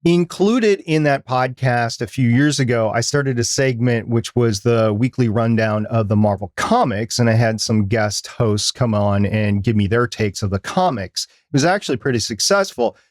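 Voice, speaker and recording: A man's voice: mid-range voice, moderate pace, few pauses, dry recording. Male podcast co-host in a dry space. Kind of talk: conversational podcast discussion.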